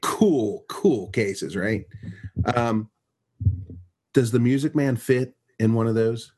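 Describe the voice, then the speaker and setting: A man hosting a casual podcast, talking loosely with lots of restarts. His voice is middling in pitch and a little husky. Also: slow pace, dark tone. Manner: rambling, friendly, with restarts. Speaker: podcast host. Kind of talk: casual chat.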